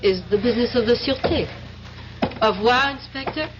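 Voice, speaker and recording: A woman's voice: on the high side, a little fast, natural voice. An actress playing a cool, assertive and teasing young American woman in a radio drama, with an American accent. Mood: cool, insistent. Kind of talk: old-time radio drama acting. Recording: dull old radio broadcast with faint noise.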